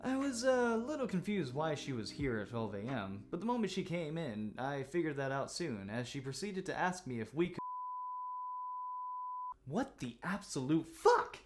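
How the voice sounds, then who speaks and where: A man telling a story as casual commentary, in a joking, crude, animated way. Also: on the high side, moderate pace, background noise. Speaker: host of a commentary series. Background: background music with guitar.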